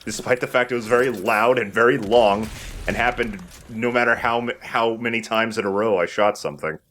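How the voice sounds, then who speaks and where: A man's voice: medium pitch, fairly even intonation, a little fast, a bit bright, slight background noise. A young man casually commentating on a video game with friends in a jokey manner. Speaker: let's play host and player.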